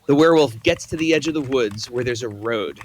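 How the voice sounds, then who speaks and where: A man's voice: medium pitch, brisk, slight background noise. A man reading stage directions in a script table read, matter-of-fact with a few stumbles.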